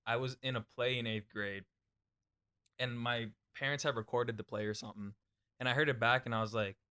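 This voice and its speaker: A man's voice: mid-range voice, steady tone, a little fast, sharp tone, smooth. A young man co-hosting a podcast, chatting in a laid-back way with frequent laughs and casual swearing. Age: young adult. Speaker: podcast co-host. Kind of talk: casual chat.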